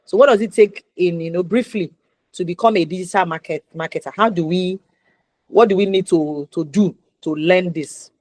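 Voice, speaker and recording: A woman's voice: lowish voice, moderate pace, a little mellow, no room sound. Nigerian woman hosting, dry close sound. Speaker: interview host. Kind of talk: conversational interview discussion.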